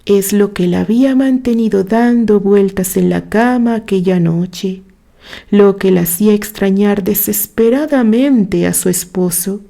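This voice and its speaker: A woman reading a literary story aloud in a dramatic, expressive way, with measured phrases and pauses. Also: medium pitch, gravelly. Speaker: narrator reading a written story.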